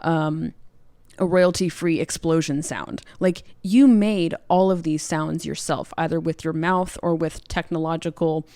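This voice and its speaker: A woman's voice: low-pitched, fairly even intonation, unhurried, mellow, dry recording. A woman interviewing casually as a podcast host, informal and encouraging toward her guest.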